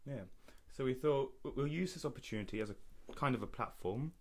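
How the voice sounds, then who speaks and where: A man's voice: mid-range voice, brisk. A young man from Berlin co-hosting a casual podcast, chatting informally to listeners. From Berlin, Germany. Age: young adult. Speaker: podcast co-host.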